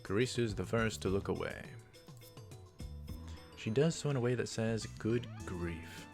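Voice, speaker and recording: Low, creaky-voiced man narrating a game. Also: measured pace, a little mellow, soft background music. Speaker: let's play host. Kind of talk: reading visual novel text aloud with casual commentary.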